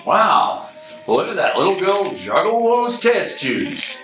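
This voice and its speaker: A man's voice: sits high, sing-song, takes it slowly, echoey room, over music, exaggerated voice. A man playing a bumbling, easily impressed detective in an amateur radio play. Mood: excited.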